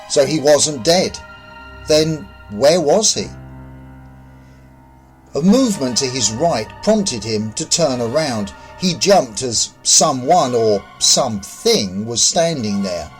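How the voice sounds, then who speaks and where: A man's voice: mid-range voice, poor audio. A man reading a scripted short story as its author and narrator.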